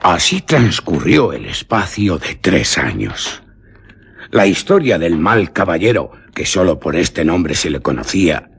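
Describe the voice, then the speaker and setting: A low-voiced man with a very husky, rough grain narrates a gothic radio drama. He takes his time over each literary phrase, with theatrical rises and falls. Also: a bit bright. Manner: theatrical, deliberate, literary delivery. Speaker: narrator of a radio horror story.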